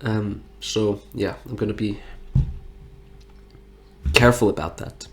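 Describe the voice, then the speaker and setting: A man's voice: on the low side, slow, fairly bright tone, faint music behind. A nasal-sounding man with the flu and a stuffy nose, talking frankly and reflectively in a rambling personal monologue. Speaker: health vlogger.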